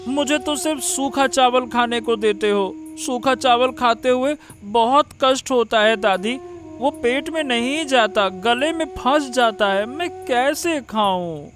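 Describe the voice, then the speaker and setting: A man playing a feverish, plaintive young boy in a cartoon story, pleading haltingly. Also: very high voice, a bit bright. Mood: miserable, suffering. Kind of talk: Hindi cartoon story dialogue.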